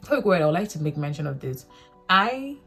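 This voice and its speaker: A woman's voice: on the low side, big pitch swings, fairly quick, a bit bright, husky, faint music behind. A young woman from Africa with a local mother tongue, talking earnestly to camera in a rambling, self-correcting way. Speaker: vlogger. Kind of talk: casual reflective talk to camera.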